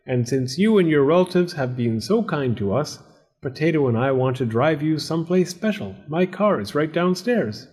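A suspicious, bumbling landlord from a storybook , as a male reader plays him. His voice is pushed high and jumps about in pitch. He is blustery and emphatic, stretching out the words that matter to him. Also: lively intonation, darkish tone, husky. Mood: eager, scheming. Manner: exclamatory, emphatic, drawn-out key words.

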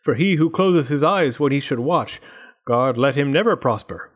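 A man's voice: medium pitch, expressive, moderate pace, natural voice. A man voicing a proud, lordly male rooster in a storybook, declaiming grandly. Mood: stern.

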